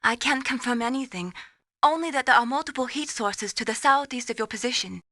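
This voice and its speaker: High, bright, earnest young analyst. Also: female voice, expressive, fairly quick, dry recording, studio quality, exaggerated voice. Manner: earnest, encouraging, gently solicitous. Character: earnest, caring young woman, mission support analyst. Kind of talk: dramatic video game radio support.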